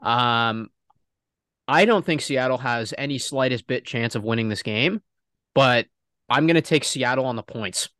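A man's voice: mid-range voice, unhurried, fairly bright tone, dry recording, clean studio sound. A young man who is feeling ill, co-hosting a casual sports podcast in an easygoing, confident way. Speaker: podcast co-host.

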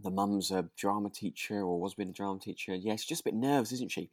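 A British man co-hosting a podcast in relaxed casual chat, rambling a little hesitantly and sounding amused. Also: fairly low pitch, fast, no room sound, studio quality. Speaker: podcast co-host.

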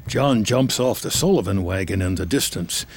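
A man's voice: deep voice, expressive, moderate pace. A man reading scripted screenplay narration in the present tense, with a terse, descriptive storytelling delivery.

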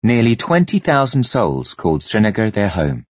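Male storyteller for dramatic fiction narration; low, slightly rough voice, measured and expressive. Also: dry recording, highs cut off. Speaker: audiobook narrator.

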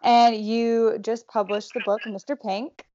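Woman, interviewer, brief affirmations, middle pitch, dull recording. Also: level tone, unhurried, warm tone. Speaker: podcast host. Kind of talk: casual interview.

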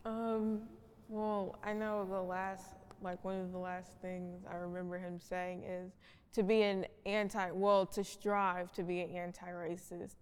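Young woman, student panelist, clear smooth voice, rather flat intonation, hesitant, echoey hall. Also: fairly low pitch, a little slow. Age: young adult. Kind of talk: thoughtful discussion answer.

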